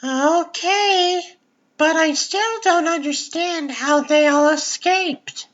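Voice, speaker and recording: A woman plays a bold young daughter in a dramatic comic dub. Her voice is high and unhurried, with a persistent, questioning manner. The recording is slightly muffled. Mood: insistent.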